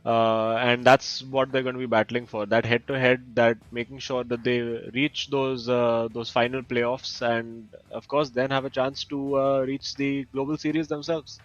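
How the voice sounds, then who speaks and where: A man's voice: mid-range voice, flat delivery, moderate pace, few pauses, highs cut off. A man giving live pre-match analysis as an esports commentator.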